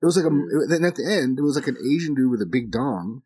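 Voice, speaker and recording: Man, husky voice, casual banter, blunt and crude, storytelling. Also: medium pitch, big pitch swings, quick pace, a little mellow. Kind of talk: casual chat.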